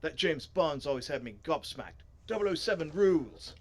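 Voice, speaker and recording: A man acts out a disdainful young chap from a story game. He is pompous and dramatic, savouring his words, in a fairly high voice. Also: big pitch swings, moderate pace. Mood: theatrical, disdainful. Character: snooty, theatrical British cricket-fan lad, bored by books.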